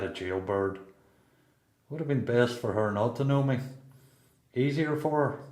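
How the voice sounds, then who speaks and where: A man's voice: medium pitch, darkish tone, natural voice. A man with a Northern Irish accent playing a reflective, plain-spoken, regretful long-term prisoner in a radio play. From Belfast, Northern Ireland. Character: reflective seasoned male prisoner, regretful absent father. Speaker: amateur performer in a radio play. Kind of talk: radio play dialogue and monologue. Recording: echoey room.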